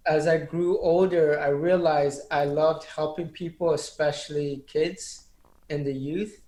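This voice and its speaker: Earnest motivational man, slightly high voice. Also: steady tone, unhurried, dark tone, roomy sound. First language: not English. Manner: earnest, motivational, speech-like delivery. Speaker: interview guest, public speaking coach. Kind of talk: casual interview chat.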